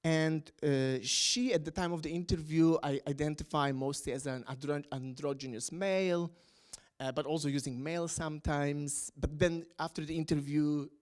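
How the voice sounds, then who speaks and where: A middle-aged man talks through an academic point in English. His voice sits a little high for a man, and he pauses often, restarting phrases and filling gaps with "uh" and "um". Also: fairly even intonation. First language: Polish. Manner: hesitant, many fillers and restarts. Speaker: academic panelist. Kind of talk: academic panel talk.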